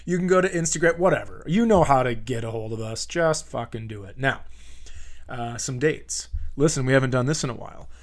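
A man's voice: medium pitch, lively intonation, brisk. An American man, a comedian and podcast host, talking in a casual, rambling, joking and sometimes profane way.

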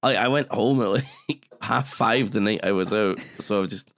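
Hoarse deep-voiced British man. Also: normal speed, a bit bright, dry recording, clean studio sound. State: hoarse after drinking. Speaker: podcast host. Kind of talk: casual chat.

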